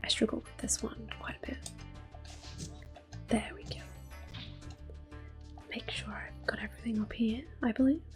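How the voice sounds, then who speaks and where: A woman softly whispering gentle, unhurried ASMR commentary as they play a video game, explaining each step in a breathy voice. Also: fairly high pitch, fairly even intonation, over music. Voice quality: breathy whispering, soft-spoken. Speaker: gaming ASMR video host. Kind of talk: soft-spoken ASMR gameplay commentary.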